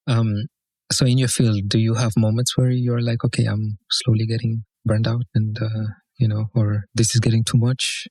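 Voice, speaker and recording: A man's voice: on the low side, little pitch movement, normal speed, darkish tone, dry recording, poor audio. A man hosting a podcast interview, asking curious questions and adding short encouraging replies. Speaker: podcast host. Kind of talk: casual interview.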